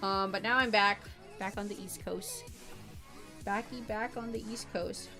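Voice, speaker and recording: A woman's voice: medium pitch, measured pace, sharp tone, soft background music. A woman livestreaming while she draws, chatting casually with viewers in a rambling, bubbly way, often repeating herself and giggling. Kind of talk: casual chat with stream viewers while drawing.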